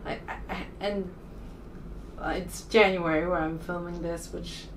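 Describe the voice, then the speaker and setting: A woman from the United States speaks in a casual, rambling vlog style, with a fairly low voice. She is informal and a little hesitant. The mic sits a bit away from her, with faint noise in the recording. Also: fairly even intonation, measured pace, distant mic, low-quality recording. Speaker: vlogger.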